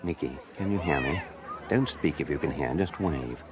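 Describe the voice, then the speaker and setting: An actor playing an urbane, wry gentleman who takes charge, in a British comic radio play. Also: rumbling low, lively intonation, moderate pace, a crowd in the background. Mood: composed, wry.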